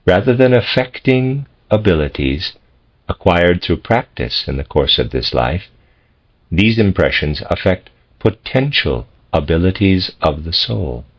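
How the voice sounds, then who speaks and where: A man reading a philosophical text as scripted narration, in measured, deliberate phrases with pauses. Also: low voice, very animated. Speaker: audiobook reader. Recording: dull, lo-fi audio.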